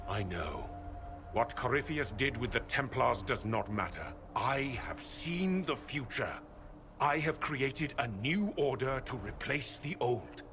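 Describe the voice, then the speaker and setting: A man playing a fanatical leader of a knightly order in a fantasy game, preaching doom in a solemn, deliberate way. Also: medium pitch, lively intonation, music in the background. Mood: solemn conviction. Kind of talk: dramatic fantasy game acting.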